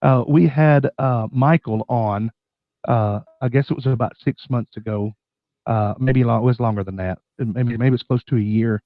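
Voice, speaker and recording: A man with a scratchy, bronchitis-worn voice, casually hosting a live stream chat in a folksy, halting way. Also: medium pitch, no echo, highs cut off.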